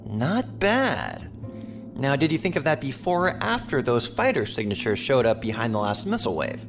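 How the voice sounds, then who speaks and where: Seasoned mercenary captain, sci-fi audio drama: sardonic, probing, very varied intonation over clear music. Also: male voice, mid-range voice, huge pitch swings, normal speed. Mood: teasing. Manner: teasing, probing. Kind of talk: science fiction audio drama acting. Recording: music behind the voice.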